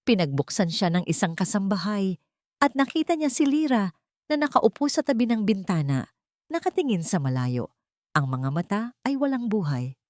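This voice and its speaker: A woman's voice: medium pitch, sing-song, moderate pace, a little mellow, no room sound, clean studio sound. A woman narrating a scripted love story in an emotive, dramatic storytelling manner.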